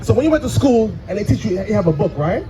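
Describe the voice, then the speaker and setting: A man's voice: sits high, big pitch swings, fast, music in the background. A man acting as a street preacher, challenging someone with insistent rhetorical questions. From Trinidad, Caribbean. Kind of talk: street debate, rapid questioning.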